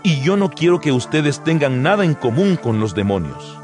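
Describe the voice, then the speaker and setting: An actor playing an apostle earnestly exhorting believers in a dramatized, measured scripture reading. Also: male voice, on the high side, very animated. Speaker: Bible audio narrator. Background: background music.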